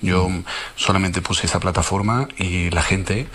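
A man with an Andalusian accent, a guest in a radio interview, speaking calmly and reflectively. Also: low-pitched, unhurried, slight background noise.

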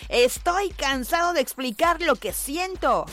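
A woman's voice: high voice, animated, measured pace, fairly bright tone. A woman, hosting a live radio show and reading out listener messages in a chatty way, often laughing and exclaiming. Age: 40s. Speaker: radio host. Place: radio studio. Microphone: studio microphone.

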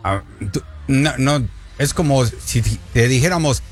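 A man's voice: mid-range voice, a little slow. A man co-hosting a sports talk show, reading out viewer comments with a playful, teasing manner. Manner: playful, teasing, jokes and laughs.

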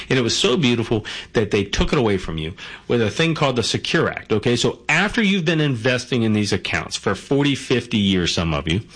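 A man's voice: medium pitch, fairly bright tone. A man explaining retirement accounts in a casual monologue, often checking in with "okay?" and "right?". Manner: conversational, rhetorical questions, frequent "okay?" and "right?". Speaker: financial commentator.

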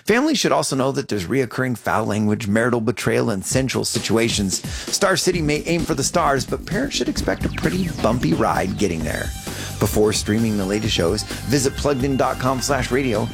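A man presenting a scripted radio review segment in a measured, evaluative tone. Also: mid-range voice, lively intonation, vocal fry, over music. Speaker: media reviewer.

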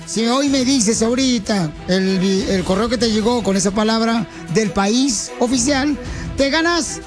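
A man's voice: very high-pitched, unhurried, over music. A man with a Mexican accent hosting a radio show, bantering with callers in a playful, teasing, slangy way. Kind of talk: boisterous comedic radio banter with callers and sidekicks.

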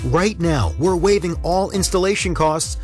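Male ad announcer, smooth sales read, slightly high, faint music bed. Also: expressive, normal speed. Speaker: commercial announcer. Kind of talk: scripted radio ad read.

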